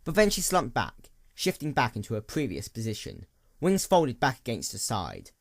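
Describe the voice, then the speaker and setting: A man's voice: medium pitch, wildly swooping pitch, normal speed, vocal fry, no room sound, clean studio sound. A man reading a dramatic scripted story as its narrator. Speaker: narrator, fan fiction reader.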